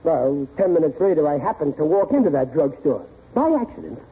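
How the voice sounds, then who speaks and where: A man's voice: fairly high pitch, big pitch swings, brisk. An actor playing a suspicious, blustering middle-aged American working-class father in a 1940s radio sitcom, grumbling in ungrammatical speech. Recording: tinny old radio broadcast recording.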